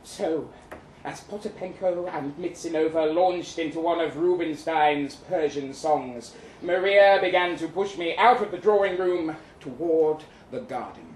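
A man reads fiction aloud with a wry, arch theatricality. His voice sits a little high and moves at an unhurried pace. The sound is that of a live reading in an echoey room. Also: a little hiss or hum. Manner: expressive, wry, arch delivery. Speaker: reader of short fiction at a live event. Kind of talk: theatrical first-person short story reading. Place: indoor live reading event before an audience.

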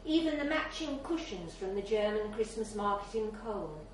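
A woman's voice: medium pitch, lively intonation, moderate pace. A woman reading a literary short story aloud as scripted narration at a live event in a bar. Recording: very echoey, distant mic, faint noise.

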